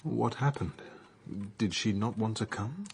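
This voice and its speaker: Curious victorian gent in a radio play, lowish voice, super husky. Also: male voice, expressive, unhurried, full character voice. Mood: inquisitive. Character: Bennett, inquisitive Victorian gentleman listener.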